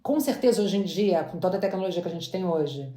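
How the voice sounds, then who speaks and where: A woman's voice: fairly low pitch, lively intonation, quick pace, dark tone. A woman, chatting as an interview guest and often restarting her words. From Brazil. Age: 40s. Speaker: guest entrepreneur. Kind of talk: casual interview chat. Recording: roomy echo.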